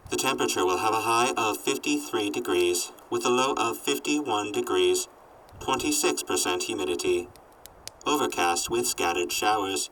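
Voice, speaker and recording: A man voicing a sardonic robot companion in an audio drama, reporting in a formal, clipped deadpan. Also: on the low side, far from the mic, slight background noise, low-quality recording. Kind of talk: post-apocalyptic audio drama acting.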